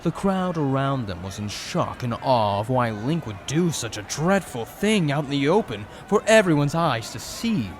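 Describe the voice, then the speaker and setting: A man's voice: mid-range voice, very animated, normal speed, few pauses. A man giving dramatic scripted narration of a story.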